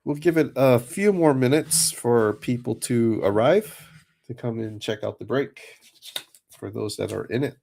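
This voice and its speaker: Gravelly man with a cold. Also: medium pitch, unhurried. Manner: relaxed, chatty, many fillers. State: hoarse and nasal from a cold. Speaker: card break host. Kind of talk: casual livestream chat.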